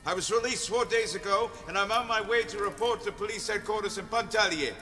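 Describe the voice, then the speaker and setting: A man playing a desperate, embittered ex-convict, pleading in loud, agitated, insistent outbursts. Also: extremely high pitch, big-room sound, voice pushed up, exaggerated voice. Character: desperate, embittered male ex-convict begging for shelter.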